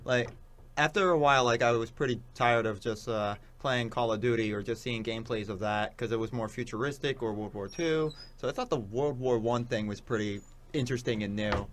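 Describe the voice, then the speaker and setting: A man's voice: mid-range voice, fairly quick, slight background noise. A man speaking as a guest co-host, chatting casually and jokingly about video games in a laid-back way. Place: small radio studio. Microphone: studio microphone, headphones on.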